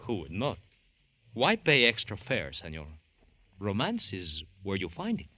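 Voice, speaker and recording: A florid, courteous travel agent with a Spanish accent in an old radio play. His fairly low voice is lively and formal, rising and falling freely. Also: a little slow, over loud music. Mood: philosophical, suave. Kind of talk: old-time radio crime drama acting.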